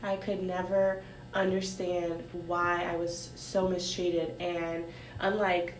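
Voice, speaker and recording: Woman, low-ish voice, reflective, lots of ums, roomy room, faint rumble behind. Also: little pitch movement, takes it slowly. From Haiti. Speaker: guest sharing her story. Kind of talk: casual conversation.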